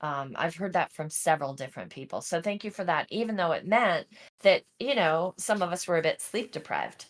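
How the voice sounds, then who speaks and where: Woman podcast host, lowish voice, chatty and playful. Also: fairly quick. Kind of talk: casual podcast conversation.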